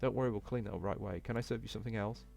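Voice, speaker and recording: A brisk, obliging canteen cook from a visual novel, voiced by a man. The delivery is service-minded, with music playing underneath. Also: fairly low pitch, gravelly, darkish tone. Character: brisk, obliging school cook in an animal world. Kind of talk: visual novel character reading.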